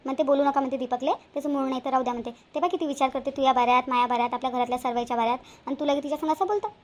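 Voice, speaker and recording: A woman's voice: high-pitched, rapid-fire, a little hiss or hum, natural voice. A woman voicing a bossy, homely cartoon mother who nags her grown son in a Vidarbha Marathi accent. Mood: reproachful. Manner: scolding, repetitive, homely. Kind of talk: homemade cartoon voice acting.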